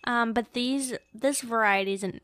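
Playful, higher-voiced American woman, dry close recording. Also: normal speed, no echo. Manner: playful and expressive, acts out sound effects. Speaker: podcast co-host. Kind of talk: casual book chat.

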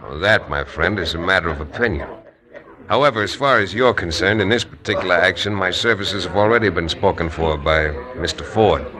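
An actor playing an easygoing frontier lawyer who narrates a 1950s radio western. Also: male voice, deep voice, fairly quick, natural voice. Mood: laid-back. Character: easygoing frontier lawyer, man, dry wit. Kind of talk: 1950s radio drama acting. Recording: old radio broadcast with crowd noise.

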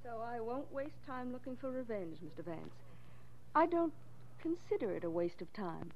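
An actress playing a vengeful, unstable murderous widow with intense, theatrical 1940s radio delivery. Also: female voice, on the high side, animated, normal speed, slight background noise. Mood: cold menace. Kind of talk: 1940s radio melodrama acting. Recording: dull 1940s radio recording.

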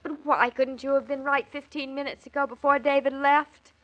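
A woman's voice: sits high, steady tone, normal speed, dull audio, close to an everyday voice. An actress playing an anxious, fussy young newlywed mother with an earnest, hesitant manner, in 1940s radio drama style. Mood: rueful. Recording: old 1940s radio recording.

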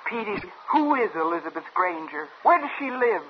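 A woman's voice: medium pitch, very animated, moderate pace, noisy background, close to an everyday voice. An actress in a 1950s radio drama playing a desperate, guilt-ridden woman on the run, with an intense, confessional delivery. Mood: urgent, pleading. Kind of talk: 1950s radio drama acting with first-person narration. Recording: muffled old radio broadcast with music.

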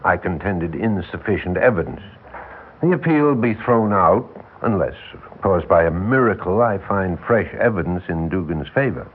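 An actor playing an older, world-weary defense lawyer, folksy and wry, with rambling, emphatic delivery in a 1960s radio drama. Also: male voice, low-pitched, lively intonation, normal speed, phone-quality sound, slight background noise. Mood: explaining, wry. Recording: band-limited vintage 1960s radio recording.

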